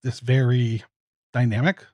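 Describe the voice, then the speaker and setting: A man with a medium-pitched voice talks casually as a guest on a podcast. He sounds like a producer chatting about his project, recorded dry with little room. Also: flat delivery, moderate pace, warm tone, dry recording, muffled recording. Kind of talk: casual interview talk.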